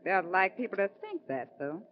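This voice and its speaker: A sly, jealous rodeo woman who needles everyone, with a very lively swooping delivery. It sounds like a vintage radio play, dull and faintly noisy. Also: medium pitch, moderate pace. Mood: sly, taunting. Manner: sly, taunting. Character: catty, scheming jealous female rodeo rider. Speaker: radio drama actress. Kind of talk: old-time radio detective drama acting. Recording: muffled, noisy old radio recording.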